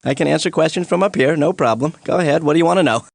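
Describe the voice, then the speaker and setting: A man's voice: on the high side, very fast, vocal fry, dry recording. A man voicing a laid-back, jokey science professor in a cartoon, explaining things in a breezy, casual way. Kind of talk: animated educational courtroom dialogue.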